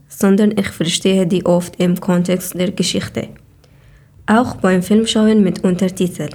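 A woman from Afghanistan, a podcast co-host reading prepared answers slowly and deliberately. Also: medium pitch, dark tone.

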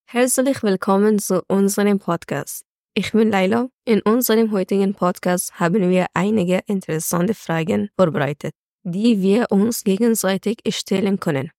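A woman's voice: mid-range voice, normal speed, a little mellow, no echo, studio quality. A woman hosting a podcast with prepared talk. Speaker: podcast host.

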